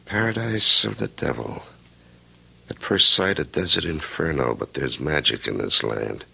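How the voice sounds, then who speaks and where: An actor playing a gruff, wry, probing private investigator with a gravelly voice in an old-time radio drama. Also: male voice, rumbling low, animated, measured pace, bright, forceful tone, a little hiss or hum, heavily acted, rough recording. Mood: musing.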